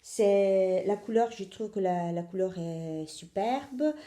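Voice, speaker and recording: A woman's voice: mid-range voice, slow pace, dark tone, reverberant. A young woman from Italy with an Italian accent, hosting a casual knitting podcast in French, hesitant with many fillers and self-corrections.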